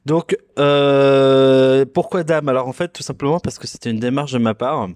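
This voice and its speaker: A man hosting a casual solo podcast, talking in a relaxed, self-mocking way and pausing often with fillers and restarts. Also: mid-range voice, clear, resonant tone, faint music behind. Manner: hesitant, full of filler sounds and restarts. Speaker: podcast host. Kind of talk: casual solo podcast chat about music.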